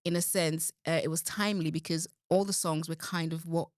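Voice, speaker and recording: A woman's voice: sits low, fairly even intonation, a little fast, dry recording, clean studio sound. A woman guest on an interview show, a gospel singer talking earnestly and reflectively about her music and faith. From London. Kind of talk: casual interview talk.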